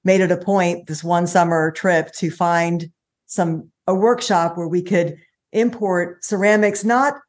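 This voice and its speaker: A woman's voice: lowish voice, steady tone, measured pace, a little mellow. A middle-aged American woman and small business owner, telling her story in a chatty interview with many fillers. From United States. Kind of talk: casual interview chat.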